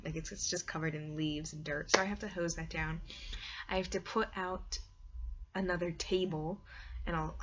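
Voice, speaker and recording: A woman chatting casually and ramblingly to her viewers, with an animated, dramatic storytelling manner. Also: fairly low pitch. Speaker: vlogger and hobby story writer. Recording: dull lo-fi home recording.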